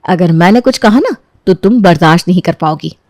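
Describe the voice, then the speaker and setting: A woman playing a young daughter-in-law in a story, firm and measured, polite but standing her ground. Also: lowish voice, expressive, darkish tone, no echo, clean studio sound. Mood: restrained, firm.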